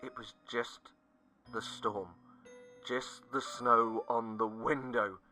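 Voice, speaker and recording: Bright-voiced man narrating horror. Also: mid-range voice, steady tone, slow. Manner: dramatic, dropping to hushed tones at tense moments. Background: faint music.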